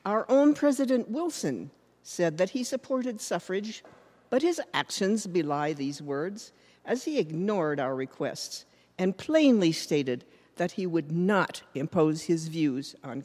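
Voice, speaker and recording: A woman playing a proud, defiant American suffragist, giving an emphatic, deliberate speech in a readers theater. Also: on the low side, a little mellow. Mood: firm, proud. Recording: reverberant hall, long echo.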